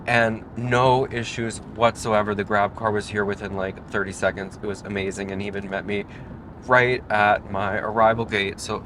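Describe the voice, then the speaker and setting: A man narrating a casual travel vlog, chatty and upbeat, talking to viewers as he moves from an airport to a car. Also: fairly low pitch. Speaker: travel vlogger. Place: airport terminal, then car on the road.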